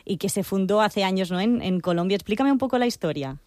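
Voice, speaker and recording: A woman's voice: mid-range voice, lively intonation, fast talker, darkish tone, dry recording, studio quality. A woman, presenting and asking questions in a broadcast interview.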